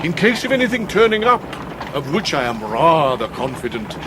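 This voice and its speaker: A florid middle-aged English gentleman, down on his luck yet grand, in a period radio play. He declaims pompously in a fairly high, widely swinging voice, with clear outdoor noise behind him. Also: sing-song, moderate pace. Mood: fond, solemn. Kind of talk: radio drama acting of a Victorian classic.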